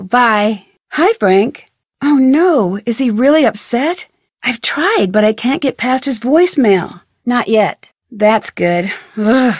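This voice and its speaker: A young female amateur detective from a mystery video game. Her voice is on the high side and recorded dry and close. She talks in a friendly, casual, clearly spoken way, curious and level-headed. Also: a little mellow, no room sound. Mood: light, teasing, then sympathetic. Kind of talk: video game voice acting, conversational phone dialogue.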